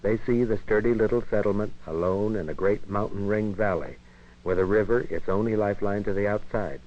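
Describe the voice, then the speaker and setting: Dull old film-soundtrack sound, American man, lowish voice, formal measured educational narration, 1950s style. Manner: measured, formal, literary phrasing.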